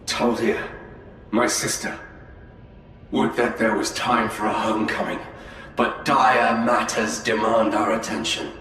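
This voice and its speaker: An actor playing a grave, protective alien seer in a game cinematic, solemn and formal. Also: male voice, lowish voice, steady tone, unhurried, a bit bright. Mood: grave, urgent. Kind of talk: dramatic game cinematic acting. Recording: very echoey, faint noise.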